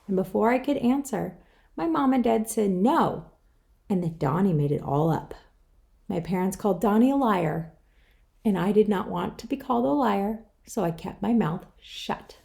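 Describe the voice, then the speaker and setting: Woman, children's book read-aloud, mellow dark tone, creaky edge, scripted narration. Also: mid-range voice, expressive, normal speed. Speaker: author reading own book aloud.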